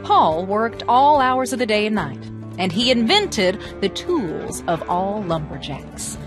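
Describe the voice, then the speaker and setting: Woman, storytelling narration, very lively swooping pitch, theatrical emphasis, music behind. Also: slow. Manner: expressive, theatrical, emphatic phrasing. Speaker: storyteller and presenter. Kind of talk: animated storytelling narration of American folklore.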